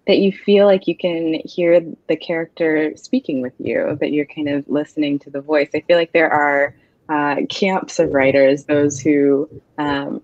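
A woman's voice: low voice, a little mellow, no echo. A woman in her thirties hosting an author interview, speaking thoughtfully and measuredly with many "um"s over a video call from a home office. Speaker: interviewer, event host. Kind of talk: interview conversation. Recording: dull, dry video-call audio.

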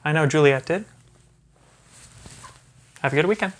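Man in his thirties reading gently to baby. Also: a little high, moderate pace. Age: 30s. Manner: gentle parent talking to a baby. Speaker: physics teacher. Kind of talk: children's story reading with chatty asides. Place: small carpeted or wood-floored room at home, indoors. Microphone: phone camera a few feet away.

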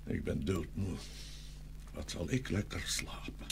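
A man's voice: low-pitched, lively intonation, measured pace, creaky, background noise, exaggerated voice. A man playing a grand, good-natured, sleepy tsar in a dramatised fairy tale, with theatrical exclamations. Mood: weary, agreeable.

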